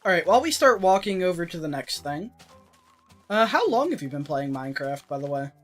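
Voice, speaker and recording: A streamer chatting casually through a let's-play, rambling with lots of filler words and getting a little raspy. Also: medium pitch, big pitch swings, a little fast, fairly bright tone, soft background music. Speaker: streamer playing an old game version.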